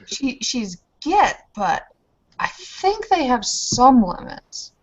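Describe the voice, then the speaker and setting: A woman's voice: high-pitched, big pitch swings, slow pace. A woman playing a tabletop roleplaying game, hesitantly describing her character in casual talk, on a muffled recording. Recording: dull, muffled lo-fi sound.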